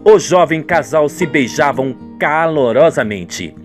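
A man's voice: on the high side, animated, measured pace. Narrator for radio soap: Brazilian male, playful and dramatic, swooping intonation over a music bed. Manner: playful storyteller addressing listeners.

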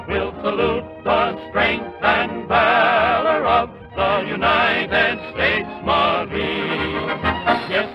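Woman, fairly high, very slow patriotic singing, old 1940s recording, music. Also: muffled recording.

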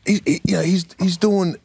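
A man's voice: sits high, vocal fry, breathy edge, no echo. A middle-aged male comedian co-hosting a podcast, telling stories animatedly in casual talk, acting out quotes and calling people bro, on a handheld mic in a small studio. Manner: animated, acts out quotes, repeats words, calls people bro. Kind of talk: casual storytelling.

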